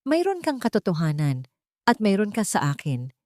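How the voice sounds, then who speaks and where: A woman voicing a steadfast, gentle man in a love story, earnest and reassuring. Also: medium pitch, wildly swooping pitch, moderate pace, no echo, clean studio sound. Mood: earnest reassurance. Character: steadfast, gentle male suitor consoling a woman.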